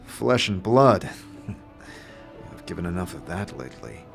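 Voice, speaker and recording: A man's voice: low-pitched, very animated, slow, vocal fry, soft background music, pitched below the performer's own voice, exaggerated voice. A man playing a puzzled, world-weary wanderer in a fantasy audio drama, hesitant and questioning in his delivery. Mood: weary, skeptical.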